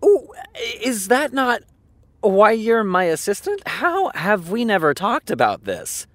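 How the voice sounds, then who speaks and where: An actress playing an anxious, obsessive TV showrunner in naturalistic audio drama, with a muttering, stammering delivery. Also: female voice, mid-range voice, sing-song, slow, no room sound. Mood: flustered. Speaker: actor.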